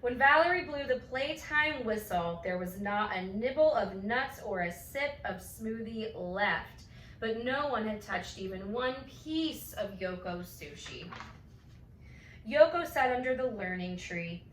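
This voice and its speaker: Slightly high-voiced lady reading a kids bedtime story out loud, echoey room, faint hiss. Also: animated, measured pace. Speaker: storybook reader. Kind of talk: expressive children's bedtime story read-aloud. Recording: roomy, distant mic, faint noise.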